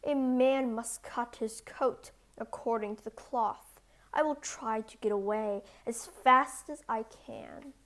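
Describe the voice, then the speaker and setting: A woman's voice: on the high side, takes it slowly, a bit bright. A woman voicing a small, sly, boastful male tailor in a storybook, with a cheeky, showy manner. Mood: scheming. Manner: ostentatious, cheeky.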